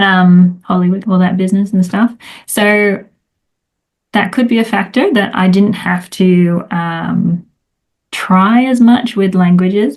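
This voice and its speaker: A middle-aged woman, a time management coach, chatting casually as an interview guest, rambling with many fillers. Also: medium pitch.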